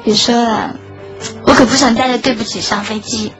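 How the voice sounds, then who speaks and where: A woman as a wistful high-voiced lovelorn schoolgirl. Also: steady tone, normal speed, lightly acted. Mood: resigned sadness. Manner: wistful, earnest, softly self-effacing. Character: lovelorn schoolgirl narrating her quiet heartbreak. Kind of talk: Chinese radio drama acting with inner monologue. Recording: dull lo-fi audio under clear music.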